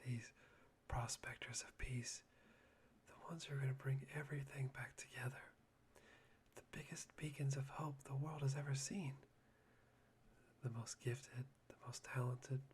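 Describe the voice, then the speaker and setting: Guy doing a soft spoken broody young man, whispery asmr roleplay, halting and musing, bit of noise behind. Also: medium pitch, steady tone, natural voice. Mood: brooding, disdainful. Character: polite, brooding young man obsessed with hope.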